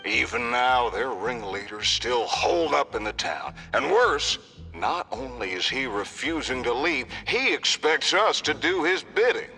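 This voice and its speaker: Slow, theatrical town sheriff. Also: male voice, medium pitch, lively intonation, pushed and bright, faint music behind. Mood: woeful, indignant. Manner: formal, theatrical, hesitant. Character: apologetic, theatrical town sheriff welcoming guests. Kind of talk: video game voice acting.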